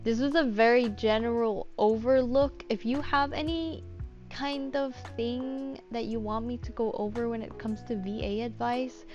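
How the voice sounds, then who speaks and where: A woman with a fairly high voice talks through a tutorial in an informal, self-deprecating way, thinking out loud as she goes. The recording is slightly dull and lo-fi, with soft music underneath. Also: fairly even intonation, a little slow. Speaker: voice actor giving script-writing advice. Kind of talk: casual tutorial chat.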